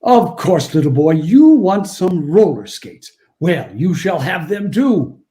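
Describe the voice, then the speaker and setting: A man's voice: sits high, big pitch swings, takes it slowly, warm tone, full character voice. A man playing a kindly, jolly old Santa Claus with a genial, courtly manner in a 1940s-style radio play.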